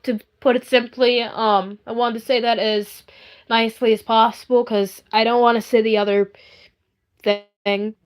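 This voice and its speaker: A woman's voice: a little high, flat delivery, a little slow. A young woman chatting casually on a live stream in a rambling, hesitant way, often repeating herself.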